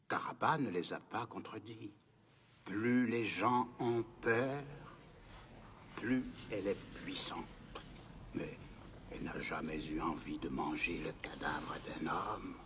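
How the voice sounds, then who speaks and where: A man voicing a wise old grandfather in an animated film, kindly and solemn, explaining patiently in a husky, breathy voice. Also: sits low, slow pace, a little hiss or hum, heavily acted. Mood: calm, explanatory. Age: older.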